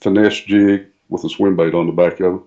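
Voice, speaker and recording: A man's voice: low voice, fairly even intonation, fairly quick, mellow. A middle-aged man chatting casually as a podcast guest, easygoing and friendly, over slightly lo-fi call audio. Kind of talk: casual chat, informal tips.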